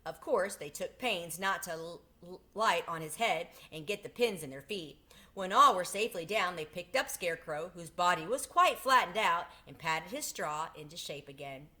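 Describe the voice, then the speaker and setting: A woman's voice: on the low side, animated, moderate pace, bright, forceful tone. A woman reading a children's storybook aloud as a storyteller.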